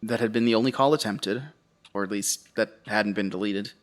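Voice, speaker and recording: An actor playing a weary, uneasy survivor who tells his story in a halting, confessional way for an audio drama. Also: lowish voice, close to an everyday voice. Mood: weary, reflective. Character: weary, uneasy male survivor recounting a horror ordeal. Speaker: narrator and lead character. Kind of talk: audio drama first-person narration.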